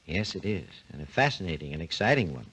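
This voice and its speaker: A man with a somewhat husky voice explains technical history in an even, lecturing manner. His pitch moves freely and expressively as he talks. The sound is an old 1940s radio recording. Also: fast, rough recording. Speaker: research engineer guest. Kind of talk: scripted 1940s radio talk.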